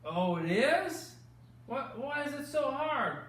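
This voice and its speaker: A man hosting bedtime Bible stories for children, teaching gently with exaggerated, kid-friendly questions and repeated phrases. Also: very high-pitched, big pitch swings, takes it slowly, far from the mic. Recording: echoey room, off-mic, faint noise.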